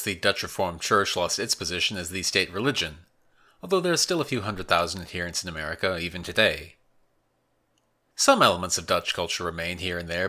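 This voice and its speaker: A man hosting a history podcast, reading a scripted narration in a measured, explanatory way. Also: low voice, sharp tone.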